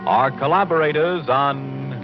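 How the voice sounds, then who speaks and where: A man's voice: medium pitch, a little slow. Muffled 1940s male radio announcer over music. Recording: muffled vintage radio broadcast. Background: loud music.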